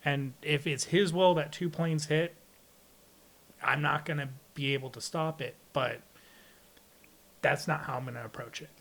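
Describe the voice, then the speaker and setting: A man's voice: fairly high pitch, steady tone, unhurried, creaky, long pauses, a bit bright. A man speaking as a podcast guest in a candid interview, explaining things in a plainspoken, reflective way. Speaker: podcast guest, air traffic controller.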